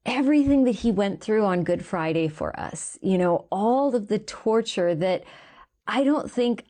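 A woman in her thirties from Canada, a guest giving an earnest faith testimony and slowing down to stress the words that matter to her. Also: medium pitch, expressive, warm tone.

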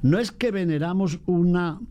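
A priest, chatting about faith in a lively way and mimicking other people's voices. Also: male voice, sits high, moderate pace. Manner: animated, mimics voices in quotes. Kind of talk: casual chat on faith.

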